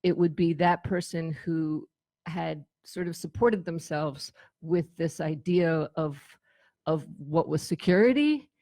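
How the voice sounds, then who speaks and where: A woman with a low-pitched voice tells stories in a casual interview. She is conversational and animated, slipping into quoted dialogue, and the sound is close and dry. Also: a little slow, dry recording. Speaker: radio show guest, former tour manager.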